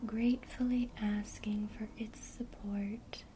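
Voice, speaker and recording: A woman teaching a witchcraft lesson in a soft, gentle ASMR whisper. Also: mid-range voice, level tone, slow, a little hiss or hum.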